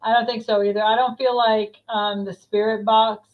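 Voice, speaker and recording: A woman's voice: fairly high pitch, level tone, normal speed, dull audio. A woman from New Jersey, a paranormal investigator guest, telling stories earnestly in casual chat.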